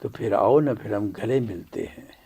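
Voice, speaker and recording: A man's voice: fairly low pitch, measured pace, gravelly, mellow, husky, heavily acted. A man playing a proud old Kashmiri father in a radio drama, grave and indignant in manner. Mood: grim resolve.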